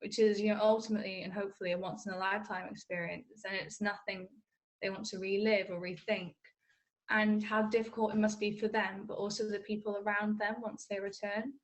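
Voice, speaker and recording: A woman's voice: medium pitch, flat delivery, brisk, rough recording. A teenage girl giving articulate interview answers as a young writer.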